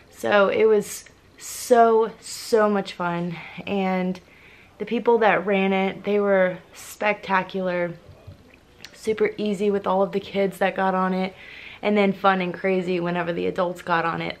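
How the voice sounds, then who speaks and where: A young woman talks straight into a handheld camera in her bedroom, her voice hoarse and scratchy as though it is fading. She gushes in a bubbly, casual way, at an even middle pitch. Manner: bubbly, gushing. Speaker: vlogger. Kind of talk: casual vlog talk to camera. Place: bedroom, indoors. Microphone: handheld camera at arm's length.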